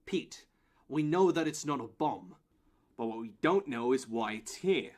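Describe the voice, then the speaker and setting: A man's voice: mid-range voice, lively intonation, a little slow, darkish tone. Man playing a curt, bossy security guard. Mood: urgent, insistent. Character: Owen, tense bossy male airport security guard. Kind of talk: audio play acting.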